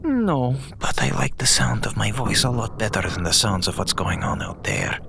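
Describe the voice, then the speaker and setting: Grave, creaky-voiced station scientist. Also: male voice, on the low side, big pitch swings, heavy background noise, full character voice. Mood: uneasy, analytical. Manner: deliberate, clipped, technical. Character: male station scientist, grave, precise, uneasy. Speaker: doctor. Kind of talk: science fiction audio drama acting.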